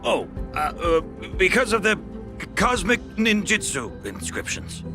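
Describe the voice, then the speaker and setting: Male nerdy researcher for a game: excitable, earnest, somewhat high, very animated intonation. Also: measured pace, over music, exaggerated voice. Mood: sheepish, eager. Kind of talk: scripted video game voice acting.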